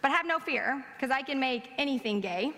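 A woman preaches a sermon in a bright, forceful, fairly high voice. The long reverb of a large hall surrounds her words. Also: animated, normal speed, a little hiss or hum. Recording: echoey hall, played-back service audio.

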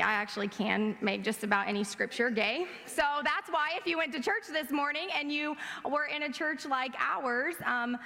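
A woman's voice: on the high side, pushed and bright. A woman preacher delivering a sermon at a service, recorded in a large hall. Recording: echoey hall, played-back service audio.

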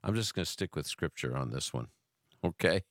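A man's voice: very deep voice, expressive, fairly quick, no room sound, clean studio sound. An American man hosting a radio call-in show in a chatty way, often repeating and restarting his phrases. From Brooklyn, New York, United States. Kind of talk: casual talk radio, answering callers.

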